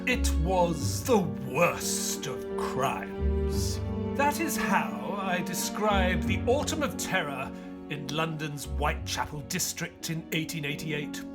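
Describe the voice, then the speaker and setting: An actor playing a weary, grieving Victorian gentleman doctor in an audio drama, with a British accent and formal, old-fashioned phrasing. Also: fairly high pitch, expressive, slow pace, loud music behind the voice. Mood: sombre, reflective. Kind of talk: audio drama acting with first-person storytelling.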